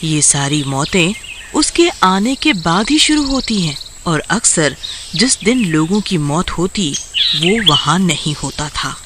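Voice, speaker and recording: A man's voice: on the high side, sing-song, slow, noisy background. A man narrating a scripted children's horror story as the storyteller. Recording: music clearly behind the voice.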